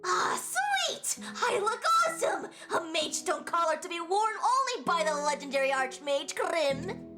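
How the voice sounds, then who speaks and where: A woman's voice: very high voice, very animated, measured pace, music in the background, heavily acted. A woman voicing a small, brash, boastful monster with big ambitions of magehood in an anime fandub. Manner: brash, cocky. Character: small boastful monster who dreams of becoming a great mage.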